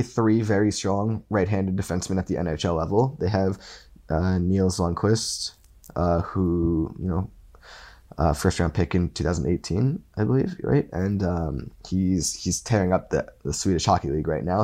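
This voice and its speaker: A man's voice: low voice, normal speed, dark tone. A man guest on a sports podcast, still recovering from an illness and coughing now and then, talking in a rambling way with many restarts. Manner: rambling, full of fillers and restarts. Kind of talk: casual sports podcast chat.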